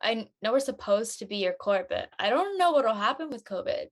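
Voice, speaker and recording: A woman playing an upbeat teenage high school girl in a conversational audio play. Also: sing-song, moderate pace. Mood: bright, friendly.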